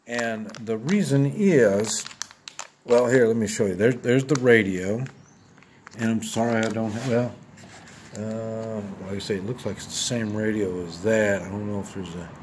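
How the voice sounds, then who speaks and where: A man in his seventies talking casually and plainly to viewers as he shows gear, halting and rambling, often repeating himself. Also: on the low side, darkish tone, a little hiss or hum. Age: 70s. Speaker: video host.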